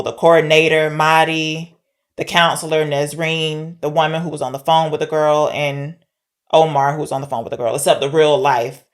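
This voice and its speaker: Casual woman podcast co-host. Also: low voice. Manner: informal, conversational retelling. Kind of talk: casual film discussion.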